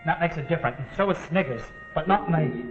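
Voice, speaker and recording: A man portrays a nervous follower in a gang of thieves, his voice a little high. He speaks in rough dialect with vintage live-drama acting. Music plays clearly behind him on a narrow, tinny soundtrack. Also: lively intonation, quick pace, echoey room, in a large hall, close to an everyday voice. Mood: nervy. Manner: nervy, rough working-class dialect. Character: jumpy, questioning male thief, a follower. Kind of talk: 1940s live television suspense drama acting.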